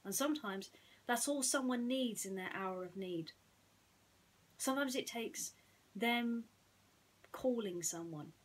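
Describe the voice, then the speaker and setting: A woman's voice: fairly high pitch, measured pace, long pauses, husky. A woman telling a bedtime story and its simple message to listeners as a storyteller. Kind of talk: bedtime story narration and gentle reflection.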